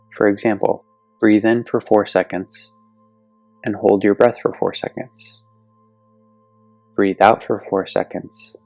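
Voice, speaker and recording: A man gently and soothingly guiding a yoga nidra meditation, speaking deliberately with long pauses. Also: lowish voice, creaky, no room sound. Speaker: guided meditation instructor. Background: soft meditation music.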